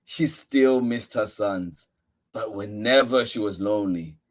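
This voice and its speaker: Male story-time host, unhurried delivery, reading a picture book with dramatic, expressive emphasis. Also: fairly low pitch. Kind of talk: expressive read-aloud storytelling.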